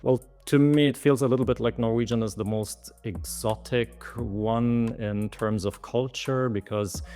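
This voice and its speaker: Guest: man, mid-pitched, patient explainer, faint music behind. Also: steady tone, measured pace, dark tone. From southeast Germany. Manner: thoughtful, explanatory, lightly amused. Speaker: guest, language course author. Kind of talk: conversational interview answers.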